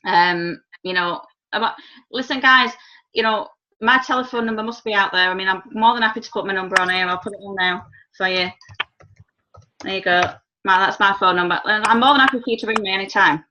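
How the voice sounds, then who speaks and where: Bright, chatty English woman on a call. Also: mid-range voice, a little fast. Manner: chatty, reassuring, self-described as shy. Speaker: team trainer leading a call. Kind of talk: casual group video call. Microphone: video call. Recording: muffled online call audio with faint noise.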